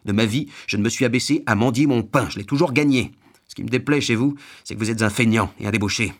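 A man voicing a gruff, self-righteous, well-off glutton in a storybook reading, scolding with loud emphasis. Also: medium pitch, fast talker, close to an everyday voice.

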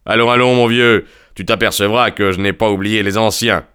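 A man voicing an older country gentleman in an audiobook, bluff and emphatic with an uneasy heartiness. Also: on the low side, fairly even intonation, a little fast, smooth. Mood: forced heartiness.

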